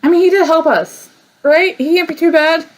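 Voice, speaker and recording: A woman's voice: extremely high pitch, moderate pace. A woman gamer giving casual let's-play commentary, hesitant and questioning herself, with some swearing. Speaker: gamer playing a story game.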